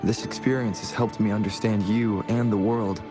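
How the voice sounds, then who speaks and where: A man playing a kind, steady young wandering adventurer in a video game, sincere and supportive. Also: fairly low pitch, normal speed, close to an everyday voice. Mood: sincere, thankful. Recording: music behind the voice. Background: background music.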